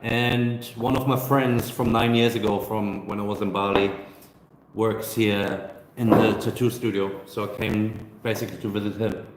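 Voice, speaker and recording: A man in his thirties, a travel vlogger chatting casually on a live stream Q&A, relaxed and hesitant, with many fillers and restarts, filming on his phone in a busy hostel co-working space. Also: fairly low pitch, normal speed, echoey room, noisy background. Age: 30s. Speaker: travel vlogger answering viewer questions. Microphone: phone camera.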